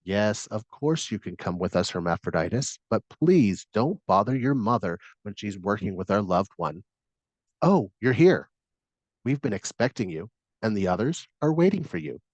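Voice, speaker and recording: A man's voice: lowish voice, expressive, normal speed, a little mellow, no room sound, lo-fi sound. A man voicing a friendly, welcoming Greek messenger god and father in a guided meditation story, with a hospitable, guiding manner. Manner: hospitable, instructing.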